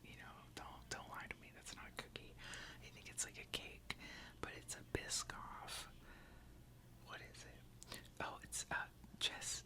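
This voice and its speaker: A woman whispering casually into a close microphone for an ASMR video, chatty and giggly. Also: bright, forceful tone. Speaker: ASMR creator.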